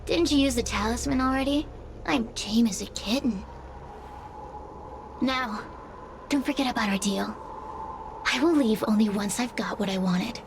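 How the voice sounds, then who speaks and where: A woman's voice: on the high side, moderate pace, long pauses, noisy background, close to an everyday voice. A woman voicing a gloating, hungry spirit that has possessed a girl in an anime game, smug and theatrical, over music.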